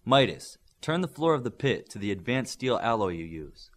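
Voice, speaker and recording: A man voicing a stern military-style trainer in an audiobook, giving brisk, clipped orders. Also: medium pitch, very animated, close to an everyday voice. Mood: commanding.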